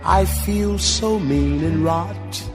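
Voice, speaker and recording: A man's voice: sits high, slow pace. A man performing a bluesy theme song for a radio drama. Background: music.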